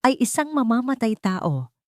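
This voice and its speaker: A woman's voice: a little high, sing-song, normal speed, darkish tone, no echo, clean studio sound, pitched above the performer's own voice. Woman as vengeful wronged wife, cold and defiant. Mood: accusing. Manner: emphatic, accusing declarations.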